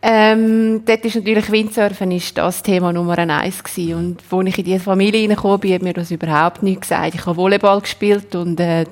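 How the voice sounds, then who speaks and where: Swiss German woman, windsurfer guest, chatty and lively, medium pitch. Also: fairly quick, few pauses. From Switzerland. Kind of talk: casual storytelling.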